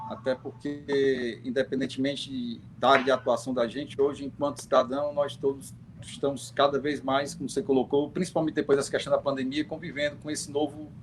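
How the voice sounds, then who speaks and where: A man giving his opinions on an online panel, thinking aloud in a reflective, halting way. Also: mid-range voice, fairly even intonation, faint music behind. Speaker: guest. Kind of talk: unscripted opinion talk on a live online panel. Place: remote video call. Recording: clearly noisy online call audio.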